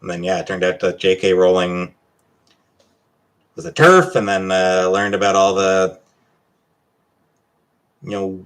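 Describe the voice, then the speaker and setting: A man with a Canadian accent chatting casually on a livestream as he answers questions, halting and hesitant, often trailing off. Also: lowish voice, a bit bright, rough recording. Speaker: livestream host.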